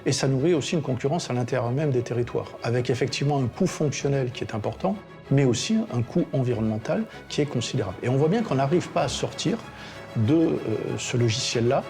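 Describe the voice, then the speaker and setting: A man speaks at medium pitch in a soft-edged, mellow tone. He is measured and reflective, like a documentary interviewee. Light music sits behind the voice. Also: vocal fry. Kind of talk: interview commentary in a documentary film.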